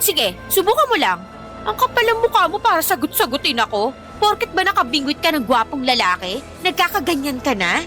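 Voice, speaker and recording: Bright, shrill Filipina mean girl. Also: sing-song, fairly quick, faint music behind, exaggerated voice. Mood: scornful, taunting. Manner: haughty, taunting, confrontational. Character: spiteful, rich, pretty teenage ex-girlfriend, a school bully. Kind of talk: Filipino radio drama acting.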